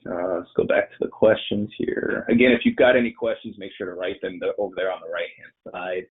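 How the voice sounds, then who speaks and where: A man's voice: low voice, fairly even intonation, fairly quick, slightly rough. A man presenting and taking questions in an informal webinar, conversational and rambling, sounding nasal and congested with a cold. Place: online presentation. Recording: muffled band-limited online webinar audio.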